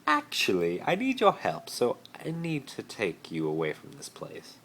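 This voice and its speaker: A theatrical, spoiled teenage wizard from a fan story, acted out by a man. He is pompous and grandly over-dramatic, pitched well above the reader's deep natural voice. The phone recording carries some faint noise. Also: wildly swooping pitch, measured pace, a bit bright, full character voice. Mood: grandiose. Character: haughty, theatrical teenage rich boy wizard, posh and gallant. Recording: noisy amateur phone recording.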